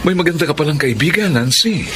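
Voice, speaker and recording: An actor playing a confident, flirtatious Filipino male professor in a radio drama, charming and smooth-talking. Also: fairly high pitch, lively intonation, quick pace, over music, natural voice. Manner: flirtatious, swooping delivery. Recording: noisy ambience behind voice.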